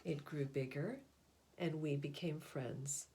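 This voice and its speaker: A woman reading a picture book aloud as a storyteller, with deliberate, expressive phrasing and pauses. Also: sits low, a little hiss or hum.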